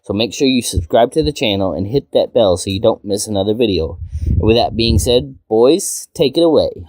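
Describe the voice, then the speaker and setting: A man's voice: on the low side, lively intonation, normal speed, darkish tone, dry recording, rough recording. A man delivering a scripted introduction as host of an online video. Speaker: channel council member introducing the video.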